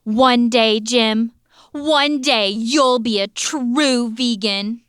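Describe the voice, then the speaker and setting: Scheming, wounded woman shopkeeper acted by a woman, high, unhurried, theatrical, comedic mystery drama. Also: fairly bright tone, clear, resonant tone, dry recording, studio quality. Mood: wounded, plaintive. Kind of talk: melodramatic comedic drama dialogue.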